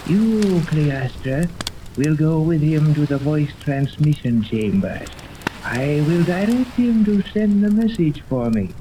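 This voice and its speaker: A man's voice: a little high, expressive, slow. A noisy 1930s radio recording with rain ambience carries a haughty male mad scientist. He rules imperiously, speaking in pompous, wordy pronouncements in old serial style. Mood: commanding. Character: haughty, tyrannical male mad scientist commanding a secret island.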